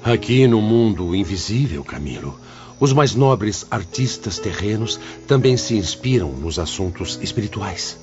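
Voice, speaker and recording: Wise brazilian spirit teacher, lowish voice, lots of melody, solemn and preachy, audio drama. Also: male voice, expressive, unhurried. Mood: instructive. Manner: solemn, didactic, reverent. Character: Teócrito, wise solemn male spirit mentor. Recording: slightly dull audio over music.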